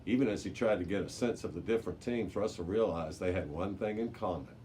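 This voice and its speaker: A man's voice: low voice, fairly quick, dark tone. A man reading a children's adventure story aloud as a library narrator, with the odd small stumble. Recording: noticeable background noise.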